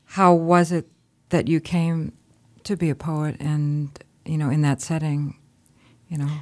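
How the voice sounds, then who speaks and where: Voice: mid-range voice, level tone, a little slow. An interviewer with a mid-range voice guides the talk. They keep their prompts short and encouraging. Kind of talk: interview questions.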